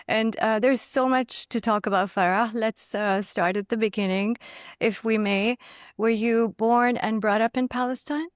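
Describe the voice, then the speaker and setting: A woman's voice: a little high, fairly even intonation, moderate pace, dry recording, studio quality. A woman hosting a podcast interview, gracious and effusive in welcoming her guest over a video call. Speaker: podcast host.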